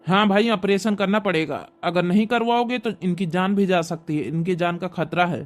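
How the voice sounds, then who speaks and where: A man playing a brisk, insistent village doctor in a cartoon comedy, talking matter-of-factly. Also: high voice, close to an everyday voice.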